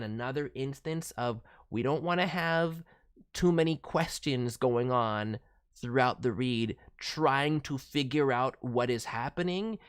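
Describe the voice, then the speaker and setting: A man's voice: medium pitch, clear, resonant tone. A man giving casual, thoughtful critique as a voice director, hedging as he talks. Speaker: voice director reviewing demo reels.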